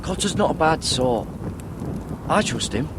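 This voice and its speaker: An earnest young farm lad in a fantasy game, with a hot temper. His slightly high voice ranges very widely. Loud noise surrounds him. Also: big pitch swings, unhurried. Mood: calm, loyal. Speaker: voice actor in a game. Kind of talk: dramatic game dialogue.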